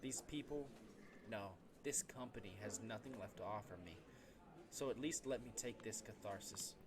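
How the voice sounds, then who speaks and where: A man's voice: fairly low pitch, moderate pace, background noise. A man reading a visual novel's text aloud for a let's play, dramatically and sometimes stumbling over the words. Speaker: video game streamer.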